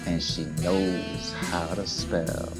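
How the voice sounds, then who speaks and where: A man playing a deadpan, wry, lecherous hardboiled narrator in a humorous noir radio serial. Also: low-pitched, over loud music. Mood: dreamy, lecherous. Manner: deliberate, deadpan.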